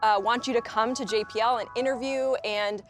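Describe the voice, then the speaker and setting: A woman's voice: fairly high pitch, fairly even intonation, brisk, soft background music. A woman engineer talking informally about herself in a documentary interview. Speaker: NASA payload systems engineer.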